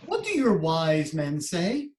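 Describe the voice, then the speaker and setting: A clever, gentle court jester, played by a woman in a storybook readers theater. The delivery is slow and theatrical, with very lively, swinging pitch. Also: reverberant, slight background noise, heavily acted. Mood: attentive.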